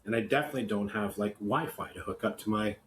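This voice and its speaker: A Canadian man co-hosting a podcast in casual, opinionated chat, blunt and grumbling, often halting and repeating words. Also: lowish voice. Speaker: podcast co-host. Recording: clear background noise.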